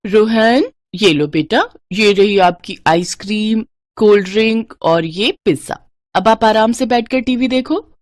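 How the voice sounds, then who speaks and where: A woman's voice: medium pitch, a little slow, no room sound, studio quality, lightly acted. A woman voicing a doting, fussy rich mother in a children's story, coaxing and dropping in English words.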